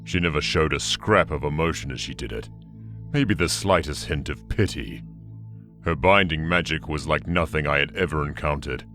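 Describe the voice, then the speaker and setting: An actor playing an ancient, bitter demon conqueror in an audio drama, brooding and contemptuous in a menacing monologue. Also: male voice, a bass voice, a bit bright, soft background music. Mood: resentful. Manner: slow, menacing, brooding delivery.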